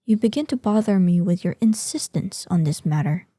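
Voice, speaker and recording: A woman playing an ancient, proud and curious female dragon in an audio roleplay, speaking softly in formal, old-fashioned phrases. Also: fairly low pitch, expressive, no echo, clean studio sound. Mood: weary, impatient. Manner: formal, archaic, deliberate phrasing. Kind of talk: scripted audio roleplay addressed to the listener.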